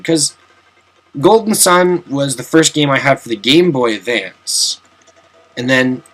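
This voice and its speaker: A young man talks through a retro game in a relaxed, rambling way. He cracks self-deprecating jokes and wanders into asides. His voice sits at an ordinary middle pitch. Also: low-quality recording. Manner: chatty, self-mocking, jokey asides. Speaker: let's play commentator. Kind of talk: casual gameplay commentary.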